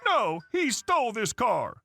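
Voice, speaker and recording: Male reader voicing an indignant entitled mother, bright and bossy, faint music behind. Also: extremely high pitch, sing-song, unhurried, vocal fry, pitched above the performer's own voice, full character voice. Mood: shrill, accusing. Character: loud entitled middle-aged mother, accusing and shrill.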